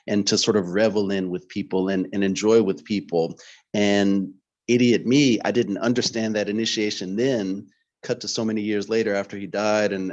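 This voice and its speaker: A man with a fairly low voice tells a story informally as a panel speaker. He is emphatic and repeats his phrases to drive points home. The recording is somewhat dull and lo-fi. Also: darkish tone. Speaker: book series editor and panel speaker. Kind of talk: informal anecdote at an academic book talk.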